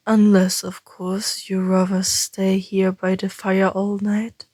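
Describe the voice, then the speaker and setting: A woman playing an ancient, teasing vampire in an intimate ASMR roleplay, breathy and often whispering, calm and deliberate. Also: mid-range voice, no echo. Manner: slow, deliberate, teasing, with long pauses.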